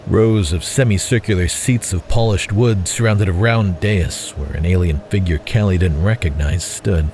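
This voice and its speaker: A man's voice: low-pitched, normal speed, few pauses, darkish tone, slight background noise. A man reading scripted dramatic narration as the narrator of an audio story.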